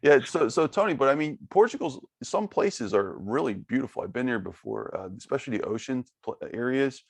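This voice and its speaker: A man's voice: mid-range voice, lively intonation, a little fast, creaky, few pauses, breathy edge, dry recording. A man hosting a podcast interview, giving short reactions and asking follow-up questions. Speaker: podcast host. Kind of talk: casual interview.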